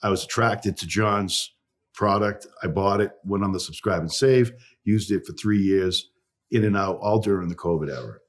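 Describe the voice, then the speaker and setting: A low-voiced man with a Boston accent speaks as an interview guest, his tone slightly husky. He tells his story in a chatty, rambling way, with plenty of asides. He sounds middle-aged. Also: moderate pace. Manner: chatty, tells stories with quoted dialogue. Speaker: guest, company phone coach.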